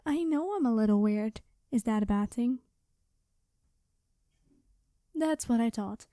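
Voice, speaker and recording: A woman's voice: fairly high pitch, animated, normal speed, mellow, no room sound, studio quality, lightly acted. A woman playing a quirky, chatty time traveler from the future, rambling and flustered, in an ASMR roleplay. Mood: playful, rambling. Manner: rambling, flustered, hesitant, cheery. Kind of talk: ASMR storytelling roleplay, one-sided conversation.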